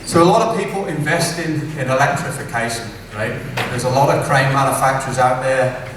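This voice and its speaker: A middle-aged English man delivers a conference keynote in a casual, chatty way, tagging his points with "right?". He sits at an average pitch and sounds far from the mic. The hall gives heavy reverb, and clear background noise runs under him. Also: brisk. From England. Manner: conversational, keeps asking "right?". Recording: echoey distant hall recording with background noise.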